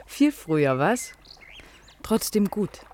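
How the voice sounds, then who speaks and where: A woman's voice: medium pitch, big pitch swings, slow pace, darkish tone. An actress playing a cheeky, outspoken lifeguard student in her early twenties in a radio play, teasing in short, breezy phrases. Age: early 20s. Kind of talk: naturalistic radio drama dialogue. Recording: outdoor scene with clear water noise. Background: lapping, trickling lake water.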